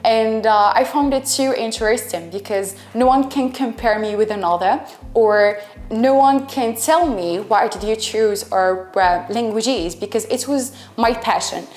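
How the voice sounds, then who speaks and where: A woman's voice: a little high, measured pace, a little mellow. A twenty-one-year-old woman from Algeria, speaking English as an Arabic speaker, answering interview questions hesitantly and often searching for words. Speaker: English student and teacher. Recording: echoey room with faint music.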